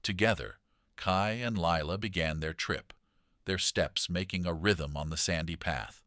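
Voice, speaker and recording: A man reading a children's story aloud in an expressive, dramatic storytelling manner. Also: sits low, measured pace, dry recording, clean studio sound. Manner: dramatic, animated delivery.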